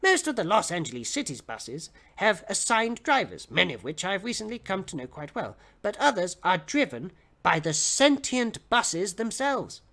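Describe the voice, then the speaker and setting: Bright-voiced man giving a dramatic reading. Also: high-pitched, very animated, normal speed, few pauses. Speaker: reader of comic fiction on a livestream. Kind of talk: dramatic audiobook-style reading.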